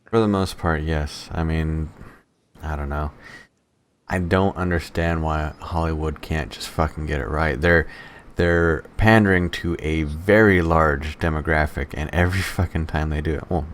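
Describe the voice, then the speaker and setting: A man's voice: very deep voice, steady tone, moderate pace, a little hiss or hum. A man co-hosting a podcast, chatting casually with an exasperated, sweary way of talking. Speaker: podcast co-host. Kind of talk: casual chat, banter.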